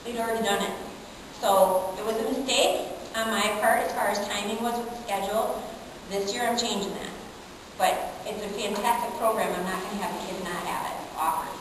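An American woman speaking as an instructor in an informal seminar, with an animated, emphatic manner, often checking in with her listeners. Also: mid-range voice, normal speed. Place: conference meeting room, indoors. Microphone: far camera in the audience. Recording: echoey room from far off, background noise.

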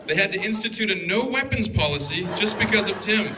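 A man's voice: sits high, animated, brisk, background noise. A man in his twenties giving a wedding toast as best man, reading from notes into a handheld mic with a dry, teasing delivery. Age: 20s. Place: wedding reception hall, indoors. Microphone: handheld microphone. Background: guests laughing.

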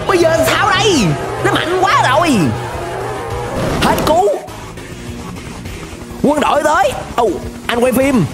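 A young man with a southern Vietnamese accent giving excited, playful, exclamatory running commentary as a reaction video host. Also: very high voice, takes it slowly, pauses often. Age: young adult. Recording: music behind the voice.

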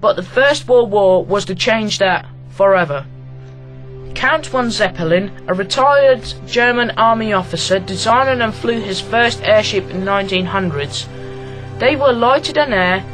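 A young man from Latvia reading aloud a school heritage project, careful and a little halting, with faint music underneath. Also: high-pitched, fairly bright tone, low-quality recording. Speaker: student presenter.

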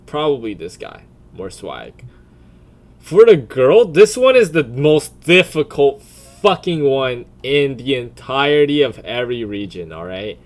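A man giving casual off-the-cuff commentary in a crude, profane, blunt way, often deliberating out loud. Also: medium pitch, very animated, measured pace. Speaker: video creator.

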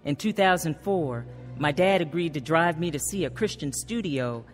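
A devout woman who has found faith and speaks from the heart. Her pitch moves expressively through earnest, grateful radio drama narration and dialogue, with clear music behind her. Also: lively intonation, moderate pace. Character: devout, grateful born-again woman telling her life story.

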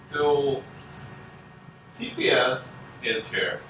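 A man gives a conference keynote talk in halting English full of restarts, speaking from the front of a conference room. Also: mid-range voice, big pitch swings, sharp tone. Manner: halting non-native English, frequent restarts. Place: conference room with projector screen. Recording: echoey room, distant mic, faint hum.

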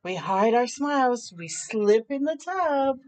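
A middle-aged woman with a high-pitched voice reads a picture book to children. Her pace is unhurried, with expressive exclamations and chatty asides to young listeners. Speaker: children's storytime host. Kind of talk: animated read-aloud with chatty asides for children. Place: outdoor balcony near a park.

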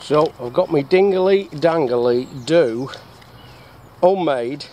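A man's voice: on the high side, expressive, slow pace, a little mellow. A middle-aged English man with a jokey, chatty manner, showing his bushcraft gear outdoors and asking himself questions before answering them. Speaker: bushcraft vlogger. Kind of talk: casual outdoor demonstration. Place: woodland camp outdoors. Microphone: action camera on chest or tripod. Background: birdsong and faint outdoor noise.

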